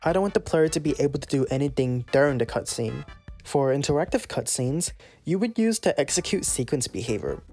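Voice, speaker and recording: A casual, playful host narrating a scripted software tutorial. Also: mid-range voice, big pitch swings, brisk, soft background music.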